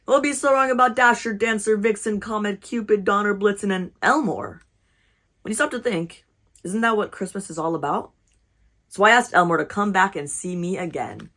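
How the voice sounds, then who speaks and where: Kindly storybook Santa, read by a woman: reflective, a bit puzzled, measured storytelling at medium pitch. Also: fairly bright tone, close to an everyday voice. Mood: reconsidering. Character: kindly, puzzled Santa recounting a job search.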